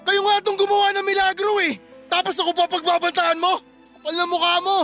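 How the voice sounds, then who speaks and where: A young Filipino man enraged at betrayal in a radio drama. His voice is very high, forceful and full of taunting accusations. Music plays underneath. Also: flat delivery, fast. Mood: furious, hurt. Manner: shouting, accusing, taunting questions.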